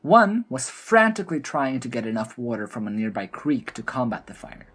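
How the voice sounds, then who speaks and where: A woman narrates a scripted fantasy tale. Her voice is very low and husky, moving expressively up and down as she tells the story. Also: sing-song, moderate pace.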